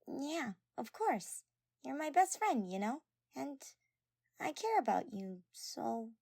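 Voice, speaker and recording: A woman performs a small, sleepy teenage girl who takes her magic very seriously. The voice is high and low-key, hesitant and shy. It sounds close and dry, like an audio story. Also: sing-song, takes it slowly, vocal fry, warm tone, no echo, exaggerated voice. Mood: shy, secretive.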